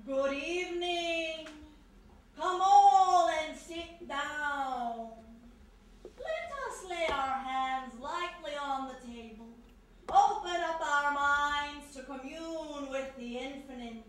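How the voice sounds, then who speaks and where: A grandiose séance medium, intoning slowly and theatrically. The radio play is recorded on a very echoey live stage. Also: female voice, high voice, lively intonation, distant mic. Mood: grand, invoking. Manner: slow, declamatory incantation.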